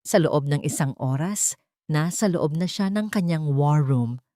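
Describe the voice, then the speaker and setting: A woman's voice: low voice, lively intonation, a little slow, warm tone, dry recording, studio quality. A woman storyteller giving a dramatic scripted narration of a love story.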